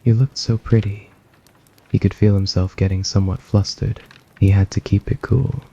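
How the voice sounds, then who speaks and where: A man narrates an ASMR story in a low voice full of gravelly fry. His delivery is soft and scripted, with a husky edge. The recording sounds slightly dull and lo-fi. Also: steady tone, normal speed.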